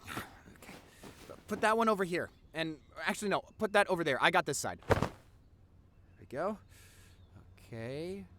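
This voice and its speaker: A man voicing a nerdy young boyfriend in an intimate roleplay audio. His pitch swings widely as he stammers eagerly, affectionate and teasing, talking one-on-one to his girlfriend. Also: big pitch swings, moderate pace, pauses often, noisy background. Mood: eager, excited.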